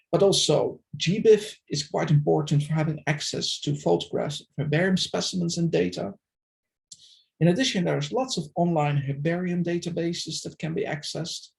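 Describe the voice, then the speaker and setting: Academic man, middle-aged, formal and deliberate, slightly higher voice with some gravel. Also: a little mellow. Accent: non-Filipino, foreign-accented English. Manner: measured, formal, deliberate delivery. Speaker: invited speaker, plant systematist. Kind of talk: prepared academic presentation.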